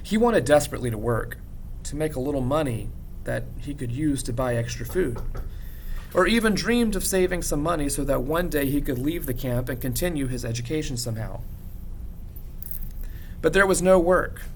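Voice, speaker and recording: A man reads a story aloud like a teacher, in expressive narration with measured, dramatic pauses and emphasis. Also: a little high, slight background noise, poor audio. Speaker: teacher reading a novel aloud. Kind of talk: expressive audiobook-style narration.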